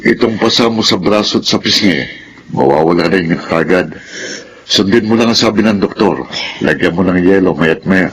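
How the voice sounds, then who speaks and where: A man's voice: sits low, normal speed, noisy background, lightly acted. A man with a Filipino accent playing a stern, patient middle-aged father in a radio drama. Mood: firm, concerned.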